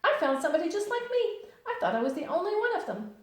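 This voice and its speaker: A woman voicing a bouncy, boastful, cheerful young male tiger in a children's storybook, loudly and emphatically. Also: very high-pitched, very animated, fast talker, pitched above the performer's own voice. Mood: cheerful, excited. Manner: loud, emphatic, exuberant. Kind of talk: children's storybook read-aloud. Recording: echoey room.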